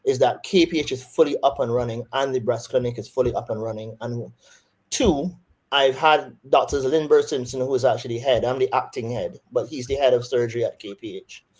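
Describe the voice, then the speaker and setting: A man's voice: medium pitch, a little fast, slightly rough, rough recording. A man with a Barbadian accent, a surgeon answering interview questions thoughtfully, with frequent restarts.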